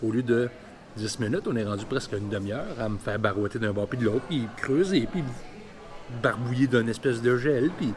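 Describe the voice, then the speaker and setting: A man's voice: medium pitch, a little fast. A man of about fifty with a Quebec French accent, telling a personal story candidly and colloquially in a vlog. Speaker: vlogger.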